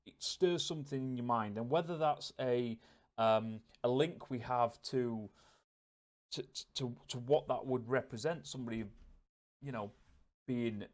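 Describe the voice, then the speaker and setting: A man with a mid-pitched voice records a casual, self-reflective vlog. He speaks hesitantly, rambling and doubling back on his words as he works out his thoughts. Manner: hesitant, rambling, repeats words while thinking aloud. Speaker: vlogger.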